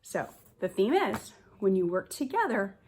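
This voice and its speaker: A woman in her forties reading a picture book aloud to young children as a kindergarten teacher, expressive and explaining as she goes. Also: mid-range voice, measured pace, slight background noise. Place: living room at home, indoors.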